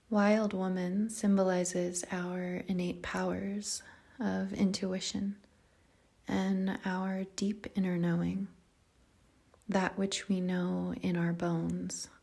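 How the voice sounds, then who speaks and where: A woman guiding a meditation in a soft, breathy, husky voice, speaking calmly and gently in short, measured phrases with long pauses. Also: medium pitch. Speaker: yoga nidra meditation guide. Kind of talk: guided meditation, slow soothing narration.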